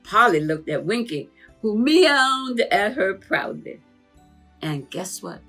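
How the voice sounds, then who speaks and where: A woman's voice: mid-range voice, sing-song, slow, bright, forceful tone, soft background music. A woman narrating a children's storybook in a conversational storytelling manner.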